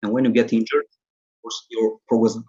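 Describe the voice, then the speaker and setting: A man's voice: fairly low pitch, flat delivery, moderate pace, warm tone, dull audio. A man in his early twenties with a Bulgarian accent, giving casual answers as an interview guest. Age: early 20s. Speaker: interview guest, calisthenics athlete.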